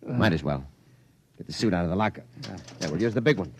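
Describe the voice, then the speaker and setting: An actor playing a gruff, capable spaceship pilot, terse and commanding, in 1950s radio drama style. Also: male voice, low voice, animated, quick pace. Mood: steadying, urgent. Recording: noisy vintage radio recording.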